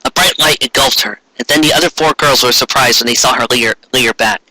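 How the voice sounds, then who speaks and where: A man's voice: mid-range voice, brisk, pushed and bright, dry recording, low-quality recording. A man reading fan fiction aloud theatrically, as comic narration with mocking asides. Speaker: fan fiction reader, commentator.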